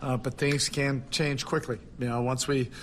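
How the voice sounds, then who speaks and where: A man speaks haltingly at a press conference, leaning on fillers as he searches for words. His delivery stays flat and even, with little rise or fall. Faint background noise sits under his voice. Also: mid-range voice. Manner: halting, hesitant, with many fillers.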